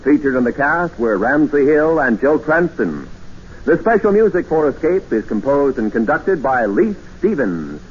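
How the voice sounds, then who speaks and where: A man's voice: mid-range voice, very animated, moderate pace. Swooping formal 1950s radio announcer man. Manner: formal, polished. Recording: muffled old radio broadcast, faint hiss.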